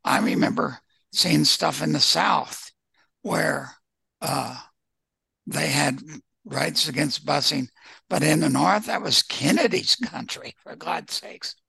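Man, heavily creaky and rough, bright forceful tone, casual book-club guest host. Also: fairly high pitch, takes it slowly, no echo.

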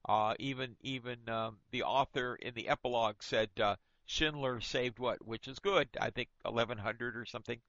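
A man's voice: medium pitch, steady tone, normal speed, hardly any pauses, fairly bright tone, no echo. A man moderating an informal book discussion, speaking appreciatively in broken phrases with self-corrections. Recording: dull, lo-fi, dry sound.

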